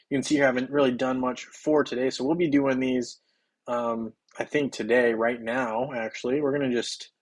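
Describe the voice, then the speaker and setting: A young man hosting a game livestream, his voice a little hoarse and scratchy. He keeps a casual, upbeat patter, welcoming chatters by name in a middle-pitched voice. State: slightly hoarse.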